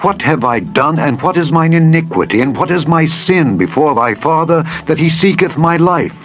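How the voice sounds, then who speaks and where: A man's voice: on the high side, animated, moderate pace, fairly bright tone, voice pushed up. A man voicing an anxious, pleading young fugitive in a dramatized scripture reading, speaking earnestly in solemn archaic phrasing. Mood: anguished. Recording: dull lo-fi audio with music. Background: background music.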